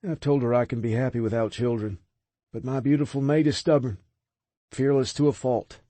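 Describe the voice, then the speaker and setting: A man's voice: mid-range voice, steady tone, normal speed, mellow, no room sound, voice pushed up, close to an everyday voice. A man voicing a protective male vampire who speaks earnestly of his mate in an audiobook. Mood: concerned.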